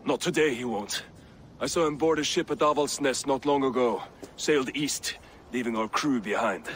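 An actor playing a boastful, gruff Viking warrior in a game, blustering and mocking. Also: male voice, mid-range voice, normal speed, a bit bright, slight background noise, natural voice. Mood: gruff, hearty. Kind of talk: dramatic game cutscene acting.

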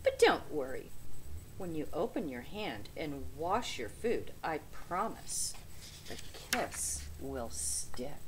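A tender mother raccoon from a storybook, read by a woman. She soothes and reassures in an unhurried voice with wide rises and falls. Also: deep voice, big pitch swings, noisy background, voice pushed down, heavily acted.

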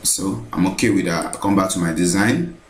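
A man narrating a screen-recorded software tutorial, thinking aloud as he repeats each step. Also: low voice, fairly quick, roomy sound, a little hiss or hum.

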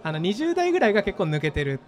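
A man in his thirties, a startup founder being interviewed on an expo stage, talking quickly and thoughtfully with many fillers into a handheld mic. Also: high-pitched, huge pitch swings. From Japan, Gifu. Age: 30s.

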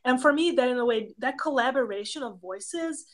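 A woman's voice: high voice, lively intonation, normal speed. Hungarian-accented woman explaining on a video call. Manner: thoughtful, explanatory, listing examples. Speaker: professor of pastoral theology, guest. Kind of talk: casual interview conversation. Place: home office with bookshelves. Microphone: webcam on a video call.

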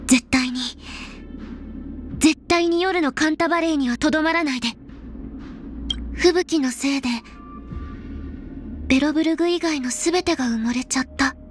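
A woman voicing a laconic, high-voiced young explorer. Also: moderate pace, pauses often, soft background music, close to an everyday voice. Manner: low-key, laconic, softly deadpan. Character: aloof young female snowfield explorer girl. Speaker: game character voice. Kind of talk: game voice lines, Japanese dub.

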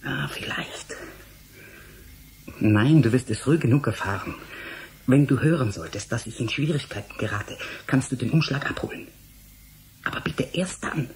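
Radio drama: male actor for an idealistic, unbending scientist, terse delivery, medium pitch, faint noise. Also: animated, moderate pace, pauses often, lightly acted. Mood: hushed, urgent. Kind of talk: German radio drama acting.

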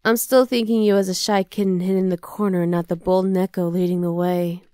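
A woman's voice: on the low side, level tone, brisk, dark tone, clean tone, no echo, natural voice. Close, dry, teasing half-dragon girlfriend voiced by a woman. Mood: teasing, gentle. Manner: casual, coaxing, affectionate teasing. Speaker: ASMR roleplay voice actor. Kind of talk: ASMR roleplay acting.

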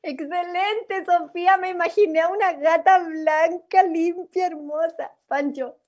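A Chilean woman with a notably high voice runs a drama workshop on a video call. She guides people through improvisation in an encouraging, directing way. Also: unhurried, darkish tone, dull audio. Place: indoors at home, on a video call.

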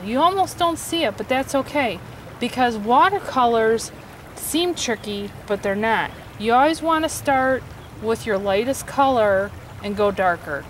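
A woman in her forties teaching a quick watercolor sketch outdoors, explaining each step in a relaxed, encouraging way. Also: fairly high pitch. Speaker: watercolor instructor. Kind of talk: outdoor painting demonstration. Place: outdoors by a stream. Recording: faint stream noise behind.

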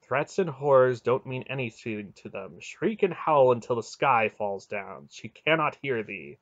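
A man voicing a calm, unafraid male counselor in a storybook, with formal, archaic and drily unimpressed speech. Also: medium pitch, unhurried, dry recording, dull audio. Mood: dismissive.

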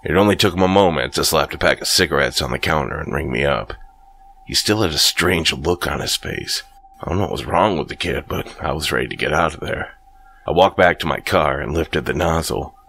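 A man narrating a first-person horror story in a slow, brooding scripted read. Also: very deep voice, vocal fry, fairly bright tone, slightly rough.

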